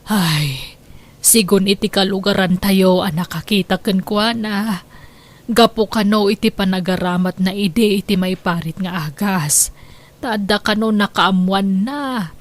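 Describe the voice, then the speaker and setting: A woman's voice: mid-range voice, a little slow, close to an everyday voice. A woman playing a long-suffering elderly grandmother in a radio drama, soothing, sorrowful and pleading. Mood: weary, concerned. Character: gentle, long-suffering elderly grandmother caring for her troubled daughter.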